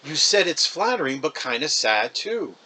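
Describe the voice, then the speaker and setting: A man's voice: on the high side, very animated, moderate pace, bright, forceful tone, heavily acted. A man voicing a reluctant, blunt young schoolboy with a whiny manner. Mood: puzzled.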